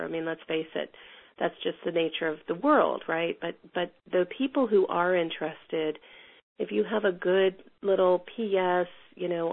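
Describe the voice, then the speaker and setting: A woman with a cold, sounding a bit hoarse, teaching an online class in a rambling way with lots of fillers and restarts. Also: lowish voice. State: has a cold, a little hoarse. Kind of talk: teaching a class over a call. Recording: tinny phone line.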